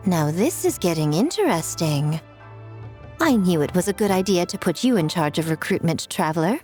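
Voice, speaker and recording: A woman's voice: on the low side, animated, moderate pace, natural voice. An actress playing a playful, scheming, self-assured woman in a video game, with a teasing, coy and poised manner. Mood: intrigued, pleased. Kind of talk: English video game voice acting. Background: game music.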